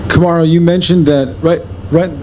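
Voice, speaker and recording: A man's voice: fairly high pitch, steady tone, moderate pace, noisy background. A male reporter asking a long, carefully worded question at a press conference.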